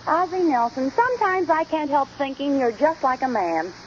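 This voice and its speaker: High-voiced, animated radio housewife. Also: female voice, normal speed. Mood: miffed. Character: bright young housewife and mother, fondly scolding. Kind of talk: 1940s radio sitcom acting. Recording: noisy, muffled old radio recording.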